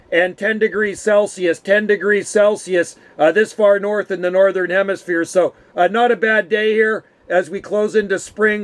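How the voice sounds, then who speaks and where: A middle-aged man chatting casually as an amateur radio operator, using radio jargon and friendly forms of address. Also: high voice. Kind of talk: casual radio contact chat. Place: home radio room.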